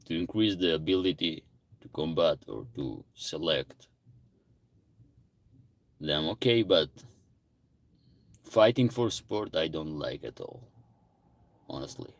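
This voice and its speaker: Deep, unhurried man hosting a livestream. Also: expressive, vocal fry, no room sound. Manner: greets viewers by name and reads their comments aloud. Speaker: livestream host. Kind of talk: casual livestream chat.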